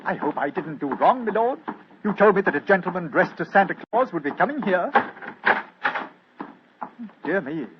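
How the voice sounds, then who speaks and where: An actor playing a proper, deferential, slightly anxious butler in an old-time radio drama. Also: male voice, sits high, animated, moderate pace, long pauses, very noisy. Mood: flustered. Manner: deferential, formal. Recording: muffled old radio recording.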